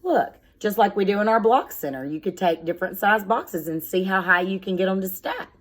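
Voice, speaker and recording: A woman's voice: medium pitch, fairly quick. A woman speaking as a schoolteacher, reading aloud and explaining things in simple, instructive words addressed directly to young children.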